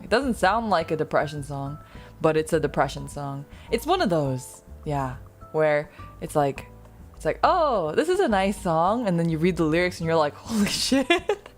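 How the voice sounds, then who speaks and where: A woman with a slightly low voice chats with her stream viewers in a loose, rambling way. She pokes fun at herself and laughs easily, and soft music plays quietly under her talk. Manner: rambling, self-deprecating, laughs often. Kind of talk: casual chat with viewers.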